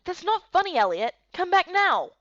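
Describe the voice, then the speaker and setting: A woman playing an earnest, chatty young heroine in a fantasy game, speaking in an expressive, exclaiming way. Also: very high voice, moderate pace, no echo, highs cut off, voice pushed up, full character voice. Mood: scolding, startled.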